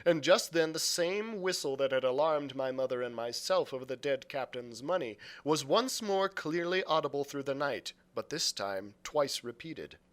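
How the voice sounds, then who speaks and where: A man's voice: medium pitch, lively intonation, moderate pace, hardly any pauses, fairly bright tone. A man reading an adventure novel aloud as a librarian, in dramatic storybook narration.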